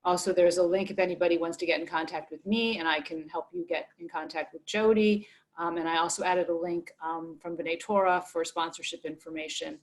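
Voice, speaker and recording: Friendly woman event host, low pitch. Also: steady tone, a little fast, few pauses. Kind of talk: moderating a virtual event.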